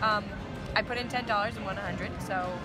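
A woman's voice: mid-range voice, steady tone, fairly quick, poor audio. A young woman filming a casual travel vlog on her phone, playfully quizzing her boyfriend. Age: young adult. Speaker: vlogger. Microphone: phone camera at arm's length. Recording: phone video with loud music over it.

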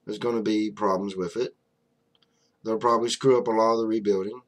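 A man's voice: lowish voice, steady tone, fairly quick. A young man giving casual, blunt political commentary to a desk webcam in a small room, full of fillers. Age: young adult. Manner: blunt, conversational, many fillers like "you know". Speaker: vlogger. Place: small room indoors, flag on wall behind.

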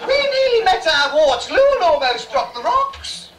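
A man's voice: extremely high pitch, very animated, normal speed, loud music behind the voice. A man acting a comic shipwreck survivor in an amateur rhyming stage pantomime, declaiming to the audience. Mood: mock dramatic. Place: small bar stage indoors. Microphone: distant camera. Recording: very noisy, echoey bar stage from far off.

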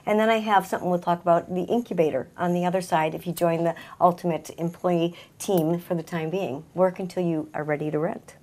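A woman's voice: on the low side, steady tone, fairly quick, few pauses, a little mellow, a little hiss or hum. A middle-aged woman, a salon owner, talking conversationally as a guest in a talk-show interview. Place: small TV talk-show set indoors.

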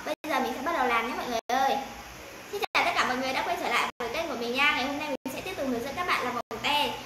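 High-pitched, very fast-talking young woman. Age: young adult. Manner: repetitive, addressing viewers directly. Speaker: craft channel host. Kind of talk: hands-on craft tutorial narration. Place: small indoor room with foam wall panels. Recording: echoey room with clear background noise.

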